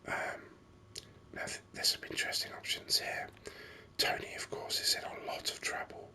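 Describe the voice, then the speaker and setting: A man speaks entirely in a breathy whisper, crisp and very bright in tone. He gives ASMR commentary on a video game, musing aloud without hurry, close to the microphone. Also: moderate pace.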